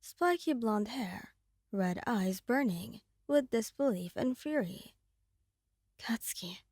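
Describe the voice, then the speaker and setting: Slow, mellow woman narrating dramatic roleplay. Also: on the high side, animated, no room sound, clean studio sound. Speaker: storyteller.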